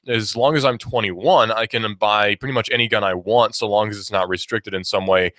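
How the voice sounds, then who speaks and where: A man's voice: low-pitched, a bit bright, no echo, studio quality. A man in his twenties hosting a radio talk show, rambling through his opinions with fillers and restarts. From United States. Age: 20s. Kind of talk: casual talk radio monologue.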